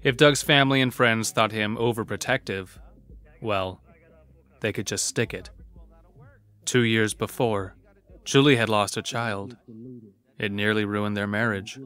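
A man narrating a dark horror audio drama as its storyteller. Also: on the low side, fairly even intonation, measured pace, pauses often. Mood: grave storytelling.

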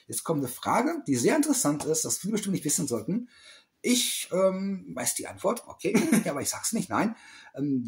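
A middle-aged man talks close to a webcam in a small room, rambling and restarting as he reads out quiz questions. His voice sits a little high for a man and swings widely up and down. He teases and backtracks often. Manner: rambling, self-correcting, teasing. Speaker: toy collector vlogger. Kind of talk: casual quiz vlog.

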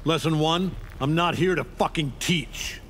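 A man's voice: on the high side, a little slow, a little hiss or hum, natural voice. A man playing an arrogant older superpowered warrior in a video game, boastful and blunt. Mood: arrogant menace. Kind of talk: video game fight intro dialogue. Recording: music behind.

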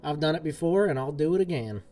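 A man's voice: a little high, expressive, fast, dark tone, faint music behind. Folksy drawling man streaming a game. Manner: folksy cowboy-style talk. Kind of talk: casual live-stream chat. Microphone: desk mic, game audio from a speaker.

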